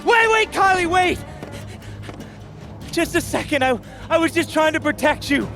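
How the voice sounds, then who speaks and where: Game villain: desperate fatherly tyrant, very high strained pitch, stammering, drawn-out pleading, music behind. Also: expressive, a bit bright, exaggerated voice. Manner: stammering, overwrought.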